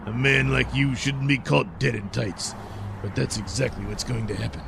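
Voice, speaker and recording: A man's voice: medium pitch, steady tone, normal speed, creaky, fairly bright tone, a little hiss or hum. An actor playing a cruel, sneering male supervillain in a comedic audio play. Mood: menacing. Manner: sneering, bullying, theatrical.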